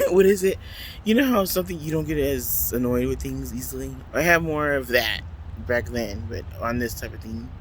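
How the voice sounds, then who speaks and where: A man chatting casually in a rambling vlog, talking loudly into a handheld phone outdoors at a transit stop. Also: mid-range voice. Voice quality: loud voice. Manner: rambling, chatty, full of fillers. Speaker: vlogger. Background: faint city traffic noise.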